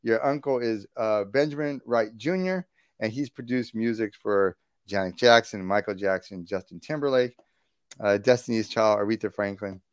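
A man's voice: fairly low pitch, normal speed, no echo, studio quality. A man hosting a podcast interview, enthusiastic and rambling, restarting his sentences. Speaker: podcast host. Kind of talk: casual interview.

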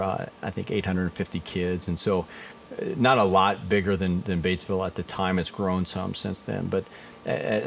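Middle-aged male guest from Indiana, very deep and flat voice, rambling and repetitive, faint hiss. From Indiana, USA. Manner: rambling, repeats words while thinking. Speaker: guest, high school principal. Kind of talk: casual interview.

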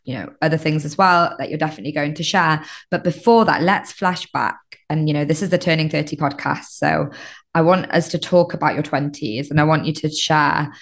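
Podcast host: woman in her thirties, very low voice, eager and affirming in conversation. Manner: enthusiastic and affirming.

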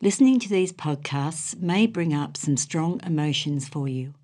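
A woman hosting a podcast in a measured, conversational way. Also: sits low, animated, dark tone. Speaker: podcast host. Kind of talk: measured podcast presenting and chat.

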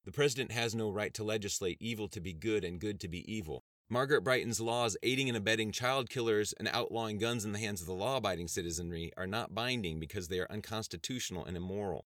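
A man's voice: on the low side, quick pace, few pauses, a bit bright, dry recording, studio quality, close to an everyday voice. A man voicing a sarcastic, combative male congressman in an audiobook. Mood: mocking. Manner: sarcastic, defiant.

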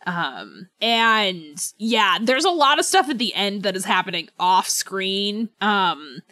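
A woman with a medium-pitched voice chats casually as a podcast co-host. She talks through books in a conversational way, softening and qualifying her opinions. The sound is dry, with little room around it. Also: very animated, takes it slowly, no room sound. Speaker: book club podcast co-host. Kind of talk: casual book discussion chat.

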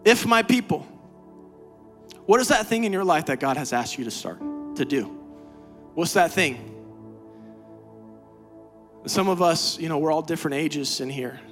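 A man preaching a sermon as pastor, swinging from quiet, low talk to loud, high-pitched exhortation. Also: expressive, measured pace, pauses often, soft background music. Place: church sanctuary.

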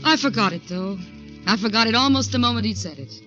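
A woman's voice: on the high side, lively intonation, fast, lightly acted. An actress with an American accent playing an anxious young career woman in a dramatic 1940s radio thriller. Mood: wistful, confiding. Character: anxious young career woman narrating her own haunting. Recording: dull 1940s radio recording with music.

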